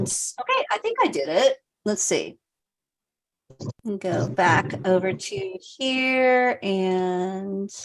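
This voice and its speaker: Woman in her 60s doing a casual live sales pitch over a computer mic, lots of ums. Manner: chatty and self-deprecating, lots of um fillers. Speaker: health practitioner hosting a live sales presentation.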